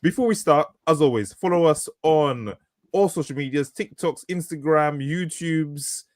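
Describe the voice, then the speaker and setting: A man's voice: on the high side, unhurried, no echo, studio quality. A man co-hosting a podcast, rambling and laughing often, with frequent swearing. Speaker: podcast co-host, author. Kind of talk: casual banter. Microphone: studio mic.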